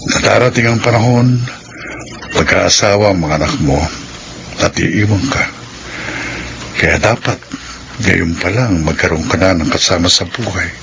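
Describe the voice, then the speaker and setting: A devoted suitor in a classic radio drama. He speaks low and gently, with a soft, pleading tenderness, over clear tape hiss. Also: normal speed, long pauses. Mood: tender.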